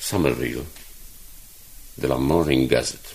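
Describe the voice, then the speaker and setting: Gravelly, deep-voiced, proud middle-aged newspaperman. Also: a little mellow. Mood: firm, reluctant. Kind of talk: 1980s Romanian radio drama acting.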